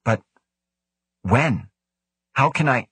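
A man voicing an earnest young male scientist who argues quickly and defensively. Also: medium pitch, animated, fairly bright tone, breathy edge, no echo, voice pushed up, heavily acted.

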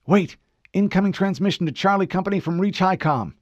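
A woman's voice: deep voice, normal speed, a little mellow, dry recording, dull audio, pitched above the performer's own voice, lightly acted. A man voicing a tense female soldier who reports in clipped military phrases.

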